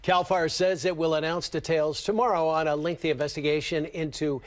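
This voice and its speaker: A man anchoring a local TV newscast, reading stories in a steady, polished way. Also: fairly high pitch, fairly quick, slight background noise. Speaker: news anchor. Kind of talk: scripted news reading.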